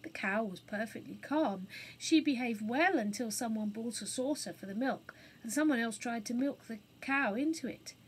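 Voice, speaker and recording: A woman reading a children's story aloud as an expressive storybook narrator. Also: fairly high pitch, moderate pace, a little hiss or hum, poor audio.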